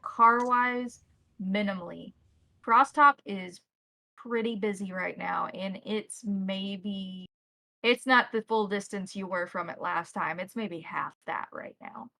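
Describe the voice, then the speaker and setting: A woman's voice: medium pitch, takes it slowly, creaky, bright, forceful tone, no room sound. A woman acting as game master, explaining and describing scenes in detail for a tabletop role-playing game.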